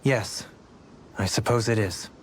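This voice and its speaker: An actor playing a refined, solemn nobleman in a video game, speaking in a measured, formal way. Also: lowish voice, very noisy, close to an everyday voice. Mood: solemn reflection. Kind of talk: dramatic video game acting.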